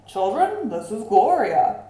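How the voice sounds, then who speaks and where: A woman's voice: a little high, big pitch swings, slow, warm tone. A woman voicing an earnest male police officer in a storybook, giving firm, instructive announcements. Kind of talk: storybook character voice in read-aloud. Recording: roomy, echoing sound.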